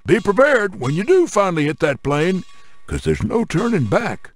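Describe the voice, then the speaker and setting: A man's voice: high-pitched, huge pitch swings, moderate pace, slight background noise. A man playing a folksy, jocular railroad mission briefer, narrating a game in a dramatic, theatrical style. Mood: wry, dramatic. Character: colorful folksy male railroad mission briefer, wry and jovial.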